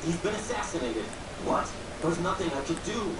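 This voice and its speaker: An actor playing earnest, urgent soldiers in a military spy game's dramatic cutscenes. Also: male voice, sits high, big pitch swings, moderate pace, distant mic, very noisy. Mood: urgent, serious. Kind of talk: dramatic video game cutscene voice acting. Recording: echoey game audio with chatter over it.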